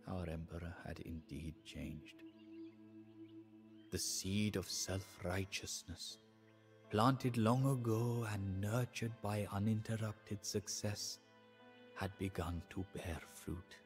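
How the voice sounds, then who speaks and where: A devout old-world emperor's adviser, deepish voice, grave dramatic game narration w/ music behind. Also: male voice. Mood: grave, foreboding. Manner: solemn, measured, theatrical. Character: sorrowful, devout male adviser to an Indian emperor. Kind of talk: dramatic scripted storytelling narration.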